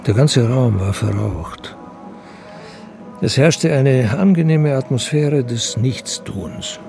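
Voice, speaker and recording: An actor narrating a radio crime drama in a sober, scripted manner. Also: male voice, mid-range voice, lively intonation, takes it slowly, vocal fry, over music. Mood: measured, atmospheric.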